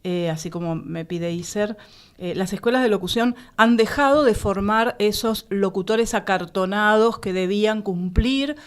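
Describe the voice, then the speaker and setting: A woman with an Argentine accent makes her points in a conversation with some insistence. Her pitch sits in the middle range for a woman, and she sounds like a teacher among colleagues. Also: moderate pace, clean tone. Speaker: voice teacher, panelist. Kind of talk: conversational discussion.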